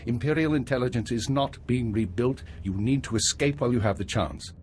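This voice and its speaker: A man playing a weary, principled older intelligence minister in a video game, speaking in a measured, formal way. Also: mid-range voice, a little mellow, slight background noise, natural voice. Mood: grave, candid. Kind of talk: scripted video game dialogue.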